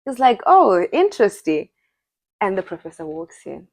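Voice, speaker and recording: A woman's voice: medium pitch, normal speed, no room sound. Chatty young woman from Zimbabwe. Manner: chatty, laughs often, animated storytelling. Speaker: podcast co-host. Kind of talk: casual chat.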